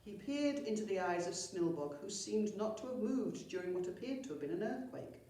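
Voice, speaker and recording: A woman's voice: mid-range voice, expressive, normal speed, dark tone. A woman reading a children's story expressively, as a storyteller at a primary school. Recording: very echoey room, far from mic.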